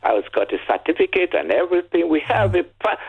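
A man with a Nigerian accent, Yoruba his first language, calling in to a radio show and telling a story in a chatty way with halting restarts. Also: high voice, very animated, creaky, husky. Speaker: caller. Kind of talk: casual radio phone-in chat. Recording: tinny phone line.

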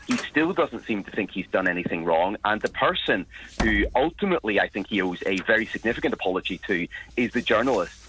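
Male guest, lowish husky voice, forceful and emphatic, dry sound. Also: low-quality recording. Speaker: journalist guest. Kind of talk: radio interview.

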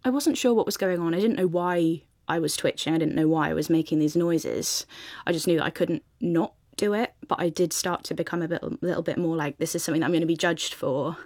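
A woman's voice: low-pitched, brisk, a little mellow, no echo, studio quality. A young woman answering interview questions in a reflective way, with hedging fillers. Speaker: guest.

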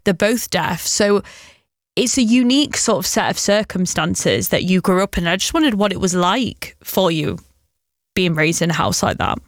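A woman interviewer asking gentle, probing questions. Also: fairly low pitch, expressive, normal speed, no echo, clean studio sound.

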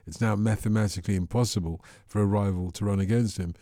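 Relaxed late-night radio man, low and mellow. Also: no room sound, clean studio sound. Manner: relaxed, chatty, quick asides. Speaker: overnight radio presenter. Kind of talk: radio phone-in hosting and news reading.

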